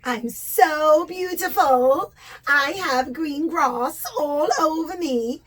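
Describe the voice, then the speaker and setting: A conceited, showy mountain in a puppet tale, voiced by a woman, high in pitch. It preens over its own looks and stretches out every boast slowly. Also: poor audio. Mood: vain preening. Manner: preening, drawn-out bragging. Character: vain, showy mountains admiring their own beauty.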